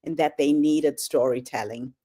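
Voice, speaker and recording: Female host, lowish voice, effusive interviewer. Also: moderate pace, mellow. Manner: effusive, enthusiastic praise. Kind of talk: interview hosting.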